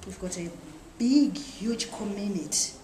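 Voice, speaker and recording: A woman asking questions from the audience, heard in an echoey hall with clear noise behind her. She presses her point insistently and rephrases it more than once. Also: medium pitch, unhurried, mellow. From Zimbabwe. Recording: echoey room, noisy.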